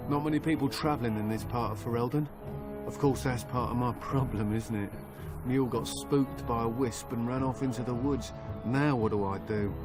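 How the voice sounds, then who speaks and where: An actor playing a nervous, chatty merchant who rambles and wheedles over game music. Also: male voice, mid-range voice, steady tone, moderate pace, lightly acted. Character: nervous chatty male merchant, middle-aged. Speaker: merchant character.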